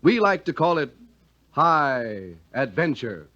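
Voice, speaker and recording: A man working as a vintage radio announcer, with very lively intonation and polished dramatic phrasing. The sound is muffled, like an old broadcast recording. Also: on the high side, takes it slowly. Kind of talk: 1940s-50s radio announcing, scripted narration and ad.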